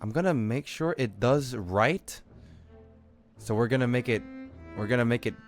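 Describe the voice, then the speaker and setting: Casual game streamer, mid voice, lively intonation, slangy and foul-mouthed, music behind. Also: medium pitch, moderate pace. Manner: joking, slangy, profane. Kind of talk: casual game livestream commentary.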